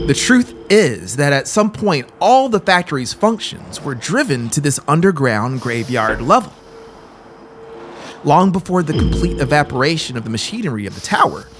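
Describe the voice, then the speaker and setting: A man in his thirties narrating a horror story in a deliberate, formal, intense, dramatic way. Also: fairly high pitch, background noise. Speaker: audiobook narrator. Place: small brick-walled room studio. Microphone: close boom-mounted broadcast microphone.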